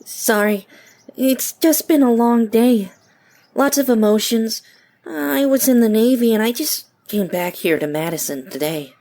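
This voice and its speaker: A woman's voice: a little high, animated, a little slow, warm tone. A woman playing a guarded, skeptical young Navy veteran in an audio drama, dry and curt in manner. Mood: softening, weary.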